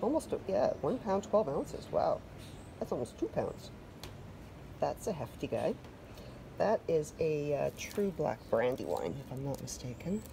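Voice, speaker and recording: A woman's voice: sits low, sing-song, a little slow, vocal fry. Chatty Canadian woman on a noisy deck. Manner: chatty, self-deprecating, loose fillers. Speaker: vlogger. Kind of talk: casual garden vlog chat. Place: covered wooden deck outdoors.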